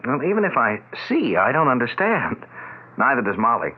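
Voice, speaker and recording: An actor playing a skeptical, protective adult son in a 1970s radio drama, questioning and blunt. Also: male voice, medium pitch, big pitch swings, brisk, breathy edge. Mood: puzzled, skeptical. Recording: tinny, phone-like vintage radio broadcast.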